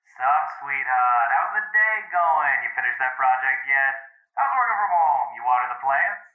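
Actor as swaggering young boyfriend, breezy banter, highly animated pitch, affectionate, roomy recording. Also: male voice, moderate pace, narrow, tinny audio, natural voice. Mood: playful, teasing. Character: cocky, teasing, foul-mouthed, affectionate boyfriend. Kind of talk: naturalistic audio drama dialogue.

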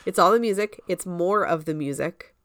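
A woman's voice: on the low side, normal speed, fairly bright tone, no room sound, clean studio sound. A woman co-hosting a podcast in casual conversation, sharing opinions in an informal, fannish way. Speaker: podcast co-host. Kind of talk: casual chat about musical theatre.

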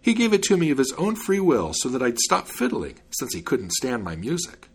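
Cheeky folk-tale servant read by a man, animated and a touch husky, smug and teasing. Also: mid-range voice, normal speed, full character voice. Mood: protesting. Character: cocky, mischievous male servant in a folk tale.

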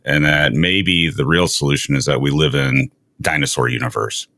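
Very deep, gravelly man, casual talk. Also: normal speed, pushed and bright, no room sound, studio quality. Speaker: science show host. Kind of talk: casual speculative science conversation.

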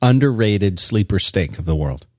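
A man's voice: fairly low pitch, expressive, normal speed, a little mellow, no room sound, clean studio sound. A man co-hosting a casual chat, laid-back, tossing in short questions and quips.

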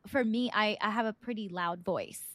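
A woman's voice: medium pitch, moderate pace, fairly bright tone, no room sound. A woman hosting a podcast interview, reflective and casual, often checking in with "right?". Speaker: podcast host.

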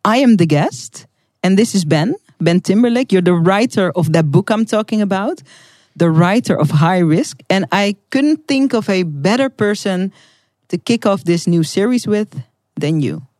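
A woman's voice: medium pitch, animated, moderate pace, a little mellow, dry recording. Earnest woman. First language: Dutch. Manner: earnest, heartfelt, emphatic. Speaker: podcast host and guest. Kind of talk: scripted podcast intro and casual chat.

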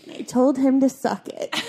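A young woman with a squeaky voice, chatting on a podcast and telling stories with frequent giggles. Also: unhurried, warm tone, slight background noise. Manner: giggly, chatty storyteller, laughs often. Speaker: podcast guest. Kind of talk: casual chat.

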